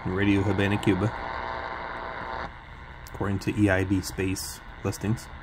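A man giving low-key, tentative casual commentary as a radio hobbyist. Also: completely flat delivery, moderate pace. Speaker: shortwave radio hobbyist narrating. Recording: loud radio static and hiss. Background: shortwave radio static.

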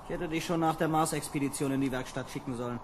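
A man's voice: a little high, steady tone, fast, background noise, natural voice. A man playing an exasperated, sardonic space lieutenant who bosses his crew in an old children's radio play. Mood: irritated, sardonic. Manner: sardonic, bossy. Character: exasperated young space lieutenant commanding a landing boat.

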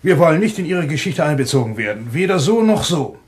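An actor playing a suspicious, blunt man with a sharp, mocking manner in a German thriller radio play. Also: fairly high pitch, sing-song, fast. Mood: sardonic warning. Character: suspicious, sardonic, blunt brother of the host.

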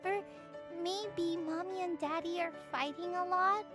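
A childlike voice telling a Bible lesson to young listeners in simple, expressive scripted speech, as the host of a children's show. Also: very high-pitched, measured pace, over music. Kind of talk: animated scripted storytelling and teaching for children.

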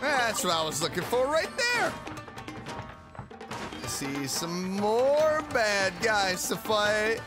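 A man's voice: high-pitched, big pitch swings, slow pace. A man giving casual let's play commentary with enthusiastic, exclaiming reactions. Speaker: gamer, let's play host. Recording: game music behind.

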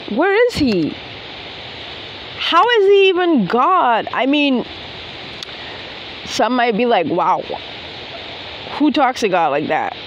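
A young woman from the Bahamas talking earnestly and slowly about her faith to a phone camera held at arm's length in an open field. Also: on the high side, muffled recording, slight background noise. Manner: earnest, unhurried, sincere faith talk. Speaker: gospel songwriter and vlogger. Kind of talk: casual talk to camera, then unaccompanied singing. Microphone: phone camera at arm's length with earphone mic.

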